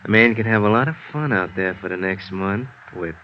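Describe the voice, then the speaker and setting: A man's voice: on the low side, brisk, lightly acted. A man acting a sly, menacing American ex-convict in a 1950s radio crime drama, insinuating and smug. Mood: sly, coaxing. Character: sly, menacing male ex-convict murder suspect. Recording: muffled, hissy vintage radio recording.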